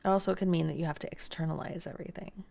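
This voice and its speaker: Gravelly-voiced woman streamer chatting. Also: lowish voice, fairly even intonation, rapid-fire, a little mellow. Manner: chatty, reads viewer messages aloud and answers them. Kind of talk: casual chat reading chat aloud.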